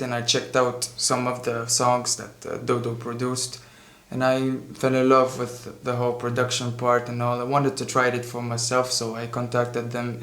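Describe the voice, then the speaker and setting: A man's voice: medium pitch, a bit bright. Young man in an echoey room. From Tunisia. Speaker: guest recording artist. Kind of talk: casual interview talk. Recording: echoey, roomy sound.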